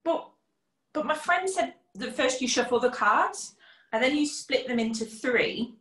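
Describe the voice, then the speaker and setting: A woman's voice: high-pitched, animated, unhurried, fairly bright tone. A woman acting a polite, unsure client in a comic scene, hesitant and apologetic, recorded in an echoey room. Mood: doubtful.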